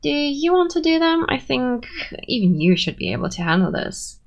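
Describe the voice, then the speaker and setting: A woman's voice: high voice, big pitch swings, normal speed, gravelly, full character voice. A woman playing a bashful tsundere friend in an ASMR roleplay, abrasive yet sweet, with flustered hesitations and haughty denials. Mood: smug, bossy. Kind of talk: ASMR roleplay, acted intimate monologue.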